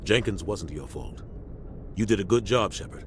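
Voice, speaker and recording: Deep-voiced, grave starship captain. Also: male voice, animated. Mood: firm concern. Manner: measured, authoritative. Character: seasoned, loyal, grave military starship captain. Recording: clear engine-like ship noise behind.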